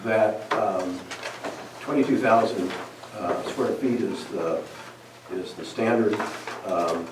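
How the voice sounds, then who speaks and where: A man on a town commission presenting his research with halting fillers at a public meeting. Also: fairly low pitch. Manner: hesitant, many fillers, defensive. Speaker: commission member. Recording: echoey hall from far off with noise.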